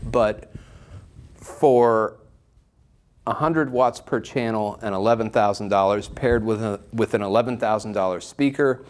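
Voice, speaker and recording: Low-pitched man presenting a product review. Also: a little slow. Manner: enthusiastic about the product. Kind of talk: informal product review, talking to viewers. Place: shop listening room, indoors.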